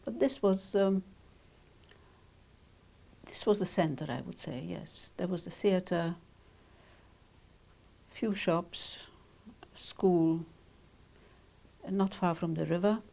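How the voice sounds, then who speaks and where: An older woman whose first language is Hungarian, speaking in measured, reflective sentences with pauses as she is interviewed about her childhood. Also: on the low side, creaky, warm tone. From Romania. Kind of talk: oral history interview.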